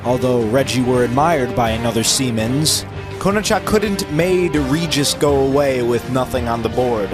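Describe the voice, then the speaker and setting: A man's voice: medium pitch, lively intonation, normal speed. A man narrating an amateur pirate story as a theatrical dramatic reading. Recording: music playing behind the voice. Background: music.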